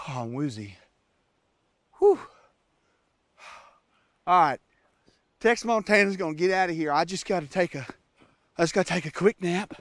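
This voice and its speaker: An actor playing a stranded, cold and hungry survival-show star who talks with rough profanity. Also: male voice, very high-pitched, steady tone, moderate pace, hardly any pauses, a little mellow, no echo, clean studio sound. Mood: weary. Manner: profane, emotional confession to camera. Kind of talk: comedic monologue.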